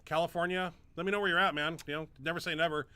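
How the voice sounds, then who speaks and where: A middle-aged man hosts a relaxed Q&A, talking in long rambling runs full of fillers and restarts. His voice is bright and slightly high, and his nose sounds stuffed up. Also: fast talker, smooth, no echo. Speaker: show host and powerlifter. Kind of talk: casual live Q&A chat.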